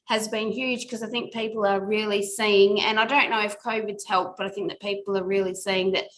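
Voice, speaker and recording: A woman's voice: medium pitch, level tone, a little fast, a bit bright, a little hiss or hum. Middle-aged woman, guest on an interview podcast. Earnest and reflective, with a mid-range voice. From Australia. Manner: reflective, earnest, speaks in long winding sentences. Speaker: podcast guest, workplace culture consultant. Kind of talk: casual interview talk.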